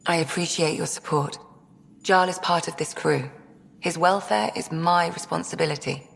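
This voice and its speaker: Low, creaky-voiced ship's doctor. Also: female voice, fairly even intonation, moderate pace. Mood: grave, caring. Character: composed, caring female ship's doctor and scientist. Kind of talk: video game voice acting. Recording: reverberant room with faint music.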